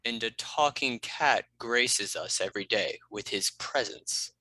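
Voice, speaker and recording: A man voicing a haughty talking cat in an animated comedy, speaking slowly and pompously. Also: fairly low pitch, no room sound, heavily acted. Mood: haughty, dry. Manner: slow, pompous. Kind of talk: animated comedy table read.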